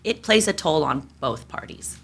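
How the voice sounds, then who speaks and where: Interview guest: woman, low but bright voice, candid and rambling. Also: animated, normal speed, gravelly, slightly rough. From United States. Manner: candid, rambling with many fillers and restarts. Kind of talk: casual interview conversation.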